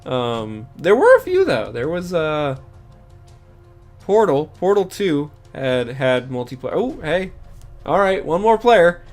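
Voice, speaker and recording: A man's voice: fairly high pitch, wildly swooping pitch, slow pace, pauses often, faint music behind. A young man chatting casually while streaming a game, stuffy-nosed and sniffling, rambling with many fillers. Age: young adult. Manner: rambling, lots of fillers and self-corrections. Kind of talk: casual gameplay stream chat.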